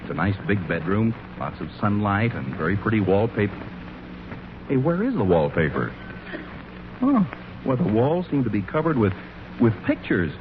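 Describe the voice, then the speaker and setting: A man's voice: fairly low pitch, very animated, moderate pace, long pauses, background noise. A man with an American accent announcing and narrating a 1940s radio show in a polished, theatrical way. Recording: muffled old radio recording, music.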